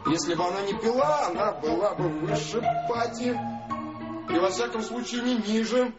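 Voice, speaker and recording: An actor playing a scandalised husband in a declamatory, exclaiming radio monologue with drawn-out emphasis. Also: male voice, high-pitched, roomy sound, muffled recording, loud music behind the voice, lightly acted. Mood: bitter indignation. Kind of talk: theatrical monologue, radio play.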